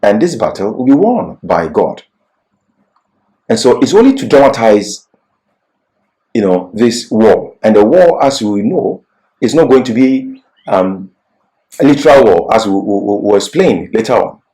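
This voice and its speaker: A man's voice: on the low side, very animated, a little slow, dark tone, poor audio. A man presenting a Bible lecture, reading prepared points and explaining them emphatically, repeating key phrases. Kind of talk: scripted religious lecture with explanatory asides.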